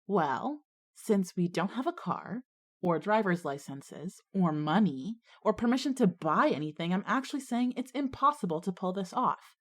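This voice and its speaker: A woman's voice: mid-range voice, expressive, moderate pace, close to an everyday voice. A woman playing a hardworking, put-upon schoolgirl in a scripted audio drama, plainspoken and wry with some exasperation. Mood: dry, frustrated. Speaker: character and first-person narrator.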